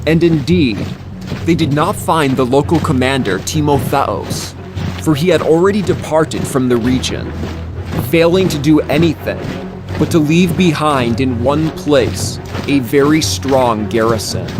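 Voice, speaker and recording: Male narrator, slightly higher-pitched, for dramatized scripture: solemn, emphatic, very measured pace. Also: over music. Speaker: audiobook narrator.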